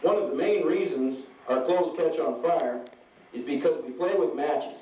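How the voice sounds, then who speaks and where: A man's voice: a little high, a little slow, a little hiss or hum, lightly acted. A man playing a friendly firefighter puppet who talks with children in a puppet show. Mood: friendly, cheerful. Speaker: puppet character in a children's fire safety show. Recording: echoey, muffled hall, mic far away.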